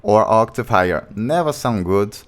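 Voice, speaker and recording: A man with a low voice, a music producer walking through a beatmaking tutorial step by step in slightly non-native English. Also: expressive, moderate pace. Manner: plain step-by-step explaining, non-native grammar. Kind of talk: casual screen-recorded tutorial. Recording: beat music playing behind the voice.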